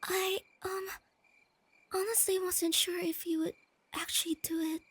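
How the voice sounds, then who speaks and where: Shy young girlfriend, extremely high girlish voice, slow, bashful stammer, soft-spoken ASMR roleplay. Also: flat delivery. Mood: nervous, sweet. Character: shy, nerdy, easily flustered young girlfriend on a night date. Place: close dry recording with night insects behind.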